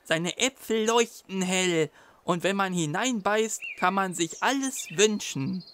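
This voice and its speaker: A man's voice: sits high, slow, a bit bright, a little hiss or hum. A man doing an eager young wizard apprentice. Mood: explaining. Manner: helpful, explaining. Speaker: voice actor in a children's audio drama.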